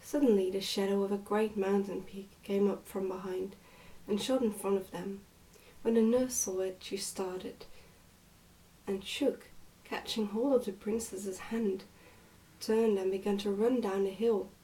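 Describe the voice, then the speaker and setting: A woman reading a storybook as soft-spoken, measured ASMR narration. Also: medium pitch, steady tone, reverberant, recorded from a distance, rough recording. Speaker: storybook reader.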